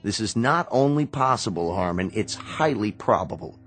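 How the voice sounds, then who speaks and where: An actor playing a blunt, exasperated physician in a radio drama, speaking emphatically and articulately with a sardonic edge. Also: male voice, lowish voice, expressive, moderate pace, fairly bright tone, faint music behind. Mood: urgent, adamant. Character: blunt, exasperated younger brother, a physician researcher.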